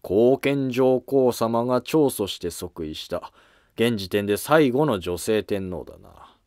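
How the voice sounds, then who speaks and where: A man playing a driven, matter-of-fact court scholar in a historical drama, speaking in measured lines. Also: medium pitch, animated, a little mellow, no room sound, clean studio sound. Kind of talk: scripted historical drama dialogue.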